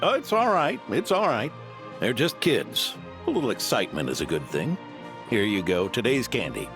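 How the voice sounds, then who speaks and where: An actor playing a hearty, grandfatherly old knight captain in a video game, with a gravelly voice. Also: medium pitch, sing-song, normal speed, over music. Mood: indulgent. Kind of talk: dramatic game voice acting.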